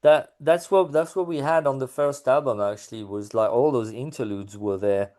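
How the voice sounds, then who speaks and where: A man's voice: mid-range voice, moderate pace, warm tone. A man being interviewed about his music, talking casually with many fillers and restarts.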